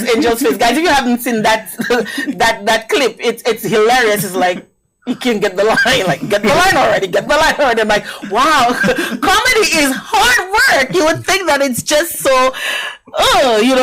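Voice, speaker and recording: A woman's voice: on the high side, background noise. A woman hosting a TV talk show, bubbly and giggly.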